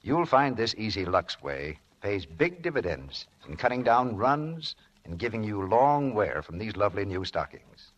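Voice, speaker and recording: A man's voice: on the low side, big pitch swings, measured pace, few pauses. A man reading a scripted 1940s radio commercial as announcer, with a polished, instructive sales delivery. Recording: dull, muffled old radio broadcast.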